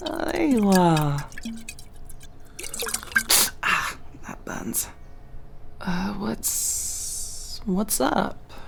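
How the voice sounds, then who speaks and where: A woman playing a proud but nervous young elf rogue in an ASMR roleplay, with wry, halting delivery. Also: mid-range voice, sing-song, gravelly, slight background noise, heavily acted. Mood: sheepish, pained.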